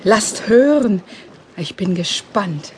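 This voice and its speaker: A woman playing an earnest, dignified cloth merchant in a biblical audio drama, speaking formally and deliberately. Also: mid-range voice, animated, a little hiss or hum. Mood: earnest, moved.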